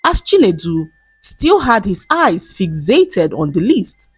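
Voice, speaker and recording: A woman storyteller reading a scripted folktale in a measured, dramatic storybook manner. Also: medium pitch, big pitch swings, slightly rough, no room sound.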